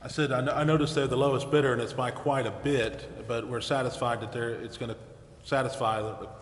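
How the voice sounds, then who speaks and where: A man's voice: mid-range voice, fairly even intonation, fairly quick. A man speaking as a council member in a formal public meeting, recorded in an echoey hall with background noise. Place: council chamber, indoors.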